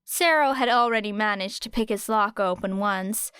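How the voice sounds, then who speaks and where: A woman's voice: mid-range voice, normal speed, a bit bright, clear, resonant tone, dry recording, studio quality. A woman reading fiction aloud as an audiobook narrator. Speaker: audiobook reader.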